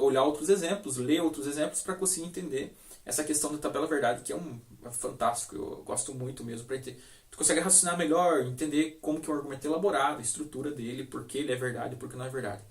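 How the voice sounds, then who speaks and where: A male teacher with a Brazilian accent talks through a lesson at a slightly raised pitch, full of fillers and questions to the viewers. He stands some distance from the mic. The room around him rings with echo. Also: fast talker. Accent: Brazilian Portuguese. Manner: explanatory, many fillers and rhetorical questions. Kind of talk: recorded logic lesson.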